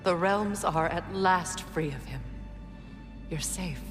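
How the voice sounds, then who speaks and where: An actress playing a regal, compassionate warrior goddess and leader in a video game, measured, formal and sincere. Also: female voice, lowish voice, over music. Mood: grave, caring. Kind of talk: dramatic video game acting.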